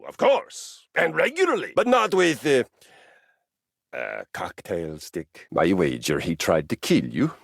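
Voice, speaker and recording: A pompous warrior treadmill for a game, raspy, super dramatic pitch swings, boastful. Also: male voice, a bit bright, dry recording, clean studio sound, exaggerated voice. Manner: pompous, grandiloquent, bombastic. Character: boastful Norwegian treadmill, honor-bound violence-loving warrior. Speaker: video game character. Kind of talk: theatrical game character acting.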